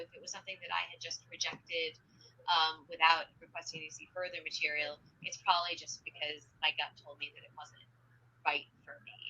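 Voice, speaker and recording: Bright-toned woman agent answering questions. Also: on the low side, lo-fi sound. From grew up speaking Spanish and Norwegian at home. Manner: chatty, many ums and restarts. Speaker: literary agent, guest. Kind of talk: live Q&A answers.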